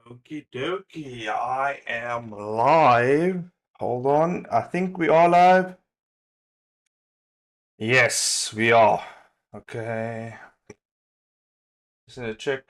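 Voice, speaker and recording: Unhurried man chatting casually on a livestream. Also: medium pitch, fairly bright tone. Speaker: livestream host. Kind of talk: casual livestream chat while setting up.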